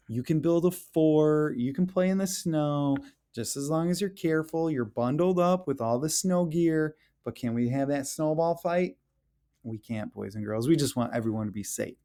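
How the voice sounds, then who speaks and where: A man with a mellow voice reads a picture book to young children. His pitch swings widely as he plays up questions and exclamations. He takes his time, speaking slowly and talking directly to his young listeners like a playful school educator. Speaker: elementary school educator reading aloud.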